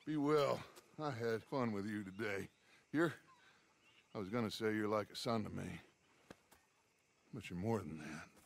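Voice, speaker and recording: A man's voice: lowish voice, measured pace, creaky, pauses often, slight background noise. An actor playing an older outlaw leader, fatherly and theatrical, in a video game. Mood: tender, reflective. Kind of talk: video game cutscene acting.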